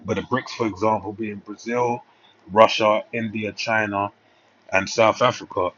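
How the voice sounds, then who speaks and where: A man's voice: on the low side, steady tone, moderate pace, a bit bright, muffled recording. A man preaching in an informal Bible-teaching style, emphatic and peppering his points with little checking tags like "all right".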